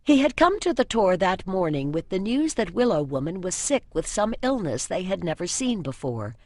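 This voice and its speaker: A woman's voice: fairly low pitch, sing-song, normal speed, no room sound. Woman narrating fantasy, dry studio sound. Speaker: audiobook narrator.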